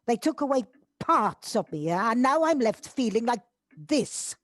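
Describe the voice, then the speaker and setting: Actress as self-doubting older woman, swooping high voice. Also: very animated, a little slow, dry recording, clean studio sound. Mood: anguished. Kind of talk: amateur audio drama acting. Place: recorded at home on a personal device.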